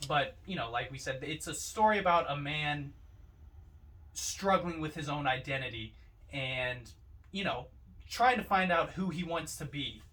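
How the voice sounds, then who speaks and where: A man's voice: fairly high pitch, unhurried, sharp tone. A man giving his opinions as co-host of a casual film discussion, picked up from a little distance. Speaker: podcast co-host. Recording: distant mic.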